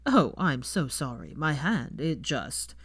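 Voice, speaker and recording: Casting: sardonic young wizard for an audio story, low drawl, mocking and teasing, close dry sound female reader acting the man. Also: big pitch swings, takes it slowly, breathy edge, no echo, exaggerated voice.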